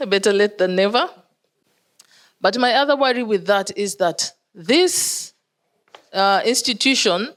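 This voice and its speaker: A Kenyan woman speaking as a conference panelist, explaining in a measured way with some pauses and fillers. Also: mid-range voice. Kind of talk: panel discussion.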